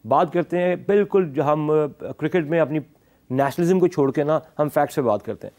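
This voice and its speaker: A man's voice: fairly high pitch, brisk, mellow. A man with a Pakistani accent giving sports talk show analysis in an emphatic, explanatory way, often switching between two languages. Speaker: cricket analyst.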